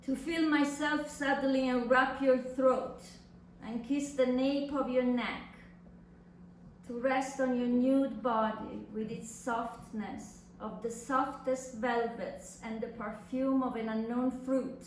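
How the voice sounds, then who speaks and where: A woman's voice: high voice, steady tone, slow. Woman reading as languid lovesick poet, very echoey. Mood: dreamy ardour. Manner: languid, lyrical, ardent. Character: languid, lovesick male poet writing passionate letters. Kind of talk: dramatic reading of love letters. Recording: echoey room from far off, faint noise.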